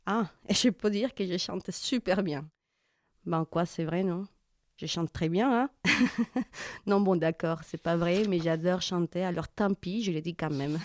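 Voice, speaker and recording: A woman's voice: lowish voice, measured pace, no room sound, natural voice. An actress playing an earnest, playful bookish mother who introduces herself hesitantly and informally, as if interviewed, in audio fiction. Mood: shy, playful. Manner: informal, hesitant, self-conscious.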